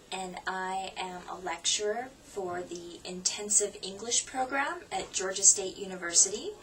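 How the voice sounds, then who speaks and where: A woman's voice: mid-range voice, fairly bright tone. Woman lecturer, distant with faint noise. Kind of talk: self-introduction for an online course.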